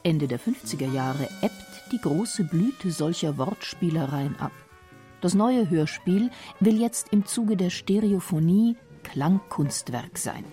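A woman narrating a radio feature in a breathy, deliberate way. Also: fairly low pitch, expressive, warm tone, faint music behind. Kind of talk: scripted narration.

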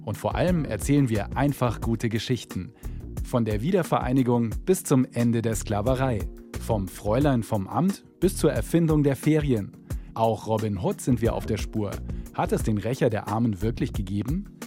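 Mellow male promo narrator over faint music. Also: mid-range voice, expressive, normal speed.

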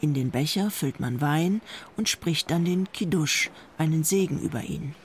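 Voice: mid-range voice, moderate pace, slight background noise. A narrator reading a scripted documentary report.

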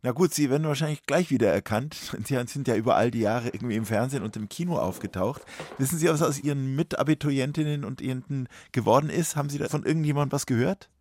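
A man hosting a radio talk show, asking measured, polite and probing questions of his guest. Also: medium pitch, darkish tone, no echo.

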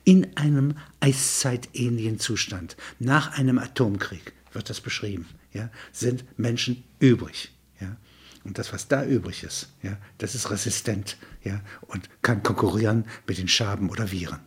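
An older man with a husky voice, speaking reflectively with frequent questioning tags. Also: on the low side, moderate pace. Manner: discursive, punctuated with questioning tags. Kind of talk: reading prose and reflective conversation.

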